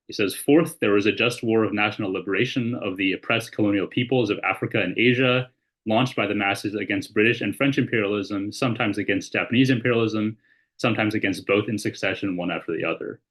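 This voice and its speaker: A man appears as a guest on a podcast and explains his view in a measured way. He reads quoted passages aloud as he goes. His voice sits somewhat low. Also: fairly bright tone. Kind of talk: casual discussion, reading quotes.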